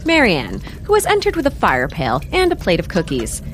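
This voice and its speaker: Lively, lowish-voiced woman narrator. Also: normal speed, a little hiss or hum. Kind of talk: scripted comedic audio drama narration.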